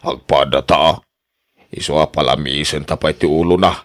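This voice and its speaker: Man as village pal, extremely low voice, blunt, goading, Filipino accent, radio drama. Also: steady tone, moderate pace. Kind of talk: Ilocano radio drama acting.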